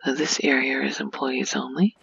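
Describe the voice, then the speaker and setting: A woman narrating a game tour in a soft-spoken ASMR style, gentle and hushed, often close to a whisper into a near microphone. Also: extremely low pitch, little pitch movement, moderate pace, creaky, husky, no echo, lo-fi sound. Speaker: video creator giving a game tour.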